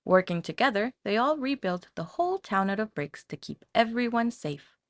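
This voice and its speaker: A woman narrating a children's story from a script in a dramatic, expressive storytelling manner. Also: fairly high pitch, moderate pace, no room sound. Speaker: audiobook narrator.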